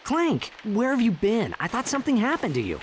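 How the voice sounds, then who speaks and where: An actor playing a youthful, eager alien hero in a video game, casual and expressive. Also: male voice, very high voice, fairly quick, a little hiss or hum, heavily acted. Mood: impatient.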